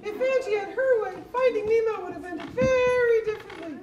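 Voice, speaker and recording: A woman's voice: extremely high pitch, brisk. A woman voicing a boastful little glowing fish in a live puppet show, chirpy and exclamatory. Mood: perky. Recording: dull, roomy theatre recording.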